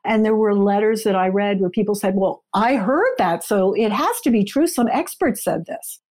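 A woman author answering interview questions in a measured, explanatory way. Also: medium pitch, expressive, darkish tone. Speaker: author, podcast guest.

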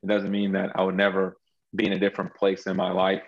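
A man's voice: deep voice, completely flat delivery, quick pace, highs cut off. A man sharing his faith story as a guest in an interview, earnest and reflective, often restarting his sentences. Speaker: guest entrepreneur.

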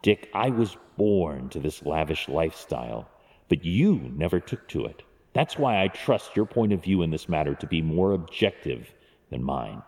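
An actor playing a brooding, driven masked vigilante in an audio drama, grave and measured. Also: male voice, a bass voice, big pitch swings, a little mellow, full character voice. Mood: troubled, searching. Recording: long cavernous hall reverb.

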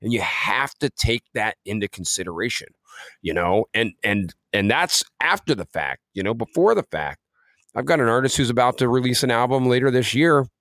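A man's voice: medium pitch, lively intonation, normal speed, fairly bright tone, no room sound. A man co-hosting a podcast in a casual, conversational way, often dropping in "you know" as he talks. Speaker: podcast co-host, music career coach.

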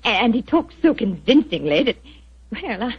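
A dull vintage radio recording of a young wife. She is sweet but persuasive, with a husky edge and a very lively, swooping delivery in period radio drama style. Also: fairly high pitch, normal speed, exaggerated voice. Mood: enthusiastic. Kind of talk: 1940s radio drama acting.

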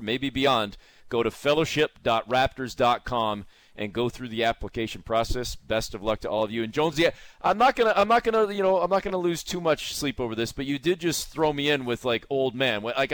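A middle-aged man hosts a sports interview show in a conversational style. His voice sits at a medium pitch, and the sound is dry, with no room echo. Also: a bit bright.

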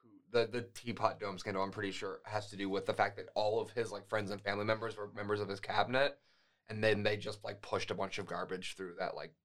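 A man's voice: fairly low pitch, little pitch movement, quick pace, a bit bright. American man in rambling, casual podcast banter. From United States. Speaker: podcast co-host.

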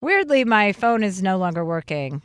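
A woman's voice: medium pitch, sing-song, normal speed, clear, resonant tone, no room sound. A woman hosting a podcast, chatting casually and joking with her co-host, drifting into asides as she talks. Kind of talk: casual chat.

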